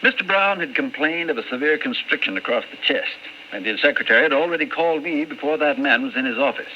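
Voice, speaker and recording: A male actor playing a formal, measured, matter-of-fact physician in an old-time radio drama. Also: a little high, lively intonation, natural voice. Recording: muffled, faintly noisy old radio recording.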